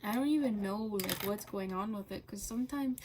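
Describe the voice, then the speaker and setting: A woman chatting casually and rambling while coloring, halting often with fillers and laughing between thoughts. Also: medium pitch, background noise. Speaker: channel host.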